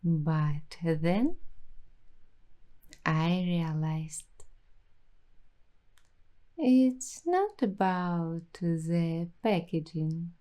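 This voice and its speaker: A woman hosting an ASMR roleplay, talking softly and slipping into whispers now and then. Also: lowish voice, sing-song, very slow, long pauses. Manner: soft-spoken, slipping into whispers. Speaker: ASMR host.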